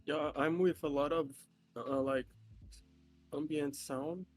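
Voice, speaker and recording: Halting man on a voice call. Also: fairly high pitch, fairly even intonation, soft background music. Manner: halting, restarts words, searches for phrasing. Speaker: guest trader on a voice call. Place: small rental apartment with a washing machine running. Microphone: laptop mic over voice chat. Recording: quiet voice chat audio with heavy noise suppression.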